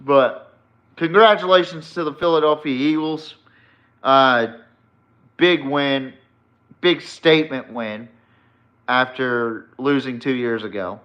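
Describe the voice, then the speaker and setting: A man's voice: medium pitch, slow, pauses often, fairly bright tone. A man hosting a sports podcast solo, giving casual, opinionated football commentary in an emphatic, blunt way, swearing freely. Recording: dull, lo-fi sound.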